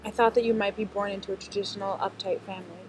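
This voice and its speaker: Brisk woman narrating her assumptions. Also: medium pitch, steady tone, darkish tone, slight background noise, rough recording. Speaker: interviewer voicing her assumptions. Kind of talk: scripted documentary narration.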